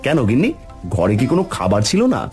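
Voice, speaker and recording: A man voicing an honest, hardworking poor fisherman in a cartoon story, gentle but worried. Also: medium pitch, sing-song, fast, faint music behind, natural voice. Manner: warm, worried. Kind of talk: cartoon voice acting.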